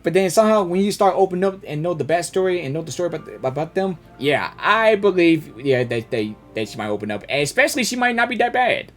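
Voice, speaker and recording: A woman's voice: on the low side, expressive, fast talker, few pauses. A woman gamer giving casual reaction commentary, rambling, repeating words and exclaiming as she goes. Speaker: gamer reacting to a story quest. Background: game music.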